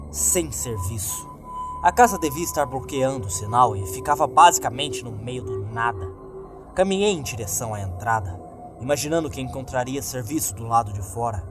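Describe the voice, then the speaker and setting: Horror narrator: Brazilian man, lively mid-pitched delivery, dramatic scripted reading over clear music. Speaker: creepypasta narrator. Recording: clear music bed behind voice.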